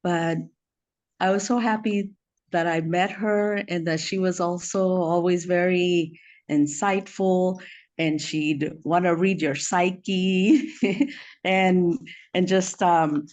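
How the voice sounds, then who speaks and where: A woman who is from El Salvador and was raised in the US speaks as a friend at a memorial. Her tribute is informal and heartfelt. She moves through it haltingly, often repeating a word or two before going on. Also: lowish voice, steady tone, a little mellow. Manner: halting, repeats words while searching.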